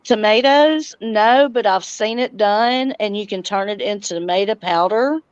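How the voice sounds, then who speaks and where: Middle-aged woman, smooth voice, casual livestream chat, slightly dull dry audio. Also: medium pitch, moderate pace, dry recording. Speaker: livestream host and homesteading vlogger. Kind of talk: casual livestream chat, reading viewer comments.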